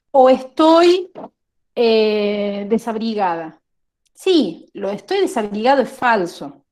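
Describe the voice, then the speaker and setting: A woman's voice: fairly high pitch, a little mellow. A woman with an Argentine accent lecturing a class, explaining step by step and often checking whether students follow. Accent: Argentine Spanish. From La Rioja, Argentina. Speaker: teacher.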